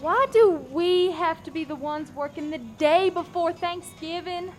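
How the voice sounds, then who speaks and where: A woman's voice: very high-pitched, a little slow, faint music behind. A woman playing a whiny, flippant young waitress in a comic radio play. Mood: whining.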